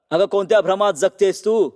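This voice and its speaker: A callous, boastful young villain husband from a cartoon tale, played by a man. His voice sits high, full of mockery. A hall-like reverb trails every phrase. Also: a little mellow, lightly acted. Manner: sneering, mocking. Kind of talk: cartoon moral story voice acting.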